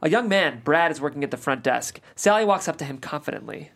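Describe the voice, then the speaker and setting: Woman reading out the script directions at a table read, plain and even. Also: low voice, quick pace, creaky. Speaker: stage directions reader. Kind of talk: reading script action lines.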